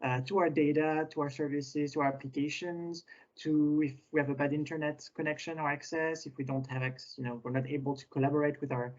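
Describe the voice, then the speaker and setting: Hurried man hosting a webinar, slightly high. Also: flat delivery. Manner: hurried, hesitant, many fillers. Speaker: webinar host. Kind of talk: webinar introduction. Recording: dull lo-fi webinar audio.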